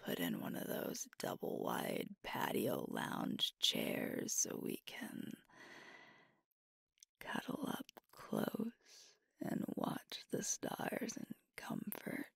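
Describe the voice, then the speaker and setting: An affectionate, teasing werewolf girlfriend, voiced by a woman in an intimate ASMR roleplay. Her soft voice is very low and full of creak, recorded close and dry. She lingers tenderly over her words with playful pauses. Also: wildly swooping pitch, no room sound, full character voice. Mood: cozy, wistful. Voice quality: soft, breathy, whispery. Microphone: close microphone.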